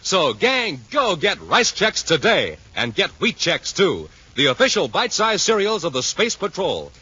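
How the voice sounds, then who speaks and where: A man announcing and pitching enthusiastically in showy 1950s radio style, on an old recording. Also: huge pitch swings, a little slow, sharp tone, slightly rough, lo-fi sound.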